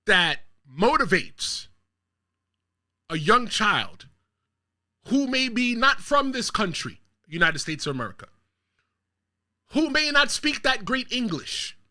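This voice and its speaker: A man from the Bronx hosts his own wrestling show, speaking directly to his viewers. His voice is high and piercingly bright, and it swings widely in pitch. He pushes out his hot takes with real emphasis. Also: big pitch swings, slow, pauses often. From the Bronx, New York. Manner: talks straight to viewers. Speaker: show host. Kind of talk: solo wrestling commentary show.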